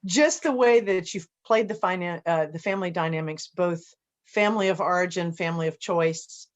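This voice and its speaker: Lower-pitched woman, chatty interview host. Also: normal speed, lo-fi sound. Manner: friendly, appreciative, chatty. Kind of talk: casual conversational interviewing.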